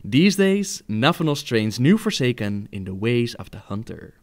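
A man's voice: medium pitch, sing-song, moderate pace. A man delivering scripted explanatory narration as the narrator of a lore video.

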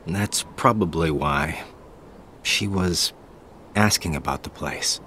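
Cool, guarded young man, low-voiced. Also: slow, sharp tone, slight background noise, exaggerated voice. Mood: guarded, serious. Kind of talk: video game voice acting.

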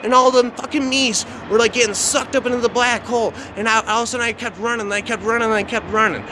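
A man telling a dark first-person story off the cuff in an agitated, repetitive, swearing, slangy way. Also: very high-pitched, fairly even intonation, fast talker. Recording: noisy outdoor recording with traffic ambience.

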